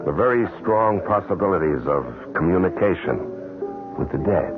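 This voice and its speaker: An actor playing a smooth, vain, scheming husband in a theatrical old radio drama style. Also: male voice, low voice, animated, moderate pace. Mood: scheming, persuasive. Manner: suave, theatrical, hesitating for effect. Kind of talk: 1970s radio drama acting. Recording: muffled old radio recording with music behind.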